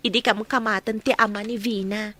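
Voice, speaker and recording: A woman playing a gentle, lovestruck young daughter in an Ilocano radio drama. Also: mid-range voice, fairly even intonation, a little fast, lightly acted. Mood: happy, lovestruck.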